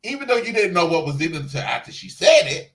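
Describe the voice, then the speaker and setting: A man's voice: high voice, big pitch swings, fast talker, a bit bright. A man co-hosting a casual review chat, talking in an emphatic, sassy, salty-tongued way. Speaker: review show co-host.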